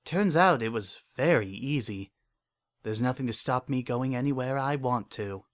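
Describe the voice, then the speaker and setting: A man's voice: medium pitch, moderate pace, close to an everyday voice. A man playing an unhinged, paranoid male narrator in a horror fan fiction, speaking earnestly in childlike phrases. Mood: hopeful, wistful. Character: unhinged, obsessive, paranoid male narrator clinging to his pet. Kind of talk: first-person dramatic horror fan fiction reading.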